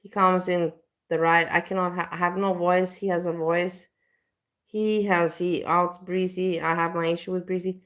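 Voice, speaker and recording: A woman from Indonesia chats loosely on a livestream, circling back through fillers as she talks. Her voice sits a touch low for a woman. She hosts in a relaxed, rambling way. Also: moderate pace. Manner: rambling, leans on "you know, like". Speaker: livestream host. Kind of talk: casual livestream chat.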